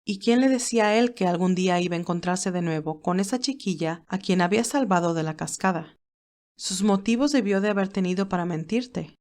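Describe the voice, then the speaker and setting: A woman voicing a calm, motherly older woman who reasons in a measured, gently firm way in audiobook dialogue. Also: fairly low pitch, close to an everyday voice.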